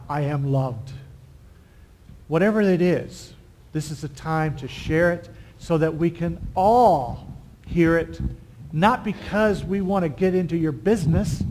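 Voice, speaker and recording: A man leading a church service, inviting members to share celebrations and thanking each one. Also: fairly high pitch. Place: church sanctuary.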